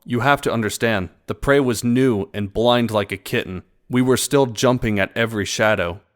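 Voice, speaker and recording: A man playing a clever, sarcastic small prey animal in a fantasy audio drama, with a wry, measured storytelling manner. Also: medium pitch, lightly acted. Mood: reflective. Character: knowing, sarcastic, sassy small prey animal, world-weary and sharp.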